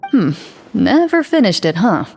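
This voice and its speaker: A stern, composed duelist in a game drama. Her mellow, mid-pitched voice stays calm, formal and restrained, with music behind it. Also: unhurried, gravelly. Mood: composed, encouraging. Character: composed, stern female duelist, veteran monster hunter. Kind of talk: dramatic video game voice acting. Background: background music.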